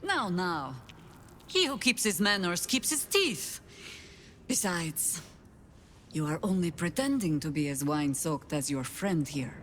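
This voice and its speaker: A woman playing a proud, wry older spymaster in a game, speaking in a measured, dry, commanding way. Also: on the low side, very animated. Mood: dry menace. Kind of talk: dramatic game dialogue. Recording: background noise and ambience.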